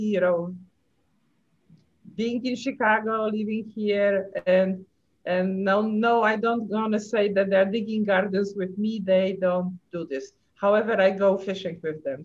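A woman's voice: mid-range voice, steady tone, takes it slowly. A middle-aged woman with a Polish accent, a native Polish speaker, chatting cheerfully as a podcast guest and laughing between phrases. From Poland. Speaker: guest, pathologist. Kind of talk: casual chat. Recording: dull lo-fi audio.

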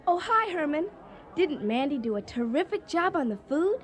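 An actress playing a nervous, chatty, scatterbrained party hostess in a comedic radio drama, rambling and guileless. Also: female voice, sits high, sing-song, normal speed. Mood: chatty, excited.

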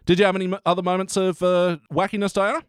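A man's voice: high-pitched, little pitch movement, fast talker, clear, resonant tone, no echo, studio quality. A man hosting a podcast, chatting casually as he reviews TV episodes. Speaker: podcast host.

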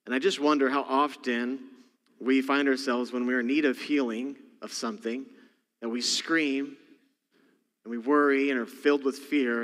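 Texan pastor preaching in a reverberant hall. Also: male voice, mid-range voice, a bit bright. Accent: Texas. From Texas. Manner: folksy, jokey storytelling with asides. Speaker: senior pastor. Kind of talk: sermon. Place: church sanctuary. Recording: long church hall reverb.

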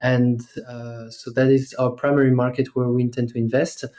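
A man in his thirties from France with a French accent, a podcast guest speaking in a measured way with many fillers and pauses. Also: mid-range voice, flat delivery, warm tone. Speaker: guest, startup investor. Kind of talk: podcast interview.

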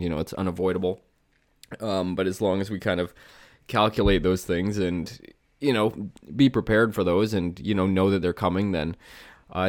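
A man's voice: sits low, dry recording, studio quality. A man hosting a casual solo podcast, sounding slightly nasal and stuffy from a cold, rambling with many fillers and restarts. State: congested, under the weather. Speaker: podcast host.

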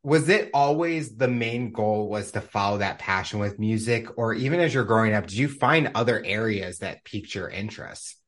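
A man's voice: lowish voice, expressive, normal speed, few pauses. A man hosting a podcast, asking his guest eager, upbeat questions. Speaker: podcast host. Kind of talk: interview hosting.

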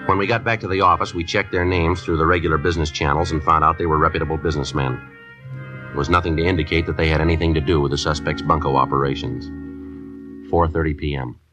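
An American actor playing a terse, businesslike police detective in a 1950s radio drama, with clipped, matter-of-fact delivery. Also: male voice, rumbling low. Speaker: detective and narrator. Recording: 1950s radio recording with music.

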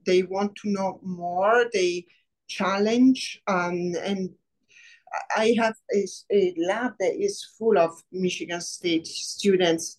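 A middle-aged woman from Rome with an Italian accent, a professor speaking in a casual interview, thoughtful with many pauses. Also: mid-range voice, low-quality recording. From Rome, Italy. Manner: warm, thoughtful, many "um" pauses, laughs easily. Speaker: biology professor, guest.

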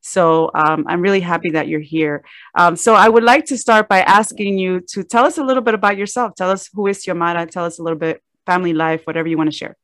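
A woman's voice: lowish voice, fast, fairly bright tone, no room sound. A woman in her forties hosting a faith-based podcast, talking in an encouraging, prayerful way. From Miami area. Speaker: podcast host.

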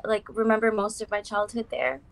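A woman's voice: medium pitch, monotone, brisk. A young woman from South Africa, now, chatting as a guest on a casual podcast and using lots of "like" and "um". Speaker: guest artist. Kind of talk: casual interview chat.

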